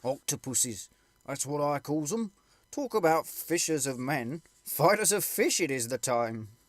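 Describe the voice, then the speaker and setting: A man voicing a small, contemplative, abrupt soldier with colloquial, drawn-out phrasing, in an audiobook story. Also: a little high, expressive, dry recording, voice pushed up. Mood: musing. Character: small, dark, contemplative pipe-smoking male soldier, abrupt.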